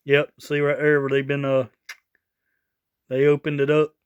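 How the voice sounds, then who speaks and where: A man with a smooth, clear voice pitched a little high presents gear in a casual vlog. He talks slowly and haltingly, wandering through his points and circling back over his own words. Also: monotone, highs cut off. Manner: rambling, halting, repeats himself. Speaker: vlogger showing radio gear. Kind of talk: casual product showcase.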